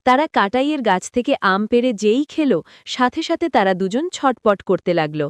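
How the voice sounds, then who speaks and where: A woman's voice: on the high side, animated, moderate pace, dry recording. A woman reading scripted narration for a cartoon moral story.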